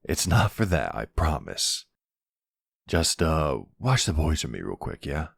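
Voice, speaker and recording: Male actor as devoted mobster partner for ASMR drama: husky, unhurried, halting, tender, weary older man. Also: sing-song, no room sound, exaggerated voice. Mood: tender, uneasy. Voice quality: very deep, gravelly. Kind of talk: ASMR audio roleplay acting.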